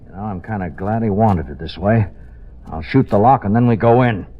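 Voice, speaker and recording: An actor playing a tough, determined police officer in a 1950s radio drama, gruff and terse. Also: male voice, lowish voice, fairly quick, lightly acted. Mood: grim, terse. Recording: dull, very noisy old radio recording.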